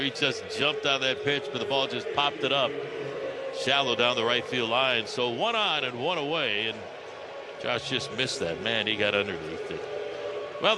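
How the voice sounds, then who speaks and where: An American man doing live baseball play-by-play on the radio, describing the action as an announcer. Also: mid-range voice. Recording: clearly noisy live ballpark radio broadcast.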